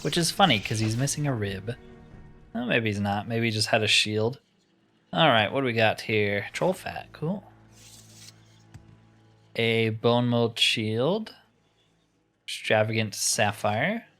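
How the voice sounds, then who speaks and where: A man's voice: lowish voice, animated, slow pace, long pauses, a bit bright. Man musing aloud over game music. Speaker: gamer narrating his playthrough. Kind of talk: casual let's-play commentary.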